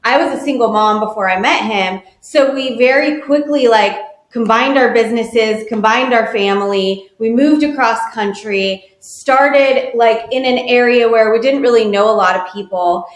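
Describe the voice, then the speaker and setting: A woman's voice: on the high side, moderate pace, few pauses. Earnest woman in an echoey room. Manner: earnest, uses "like" a lot. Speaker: guest, wealth manager. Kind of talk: casual interview talk. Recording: roomy echo.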